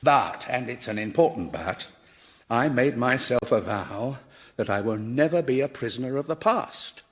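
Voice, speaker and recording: A man's voice: medium pitch, expressive, normal speed, natural voice. An actor playing a pompous, self-righteous man who is easily outraged, in a radio comedy, with an emphatic, exasperated manner. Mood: earnest, pompous. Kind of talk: radio sitcom acting.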